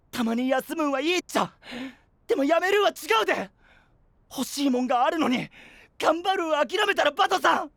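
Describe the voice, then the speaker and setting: High-pitched, boyish, earnest young knight. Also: male voice, gravelly, bright, forceful tone, dry recording, studio quality, heavily acted. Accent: western Japanese dialect, Oita. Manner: heartfelt, pleading, emphatic. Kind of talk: anime-style voice drama acting.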